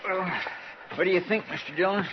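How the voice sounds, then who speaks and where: An actor playing a marshal's eager, deferential sidekick with a drawling rural western American accent. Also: male voice, high voice, moderate pace, lightly acted. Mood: curious. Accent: rural American West. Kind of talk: 1960s radio drama acting. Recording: noisy, muffled vintage radio recording.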